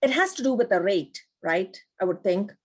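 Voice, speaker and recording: A woman's voice: mid-range voice, lively intonation, normal speed. A woman taking part in a workshop, asking a casual question in a tentative way as she thinks aloud. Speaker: course participant asking a question.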